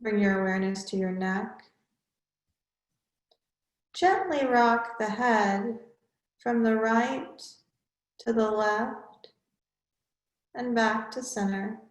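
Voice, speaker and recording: A woman yoga teacher leading a guided relaxation in measured, instructional short phrases with pauses between them. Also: on the high side, echoey room, rough recording.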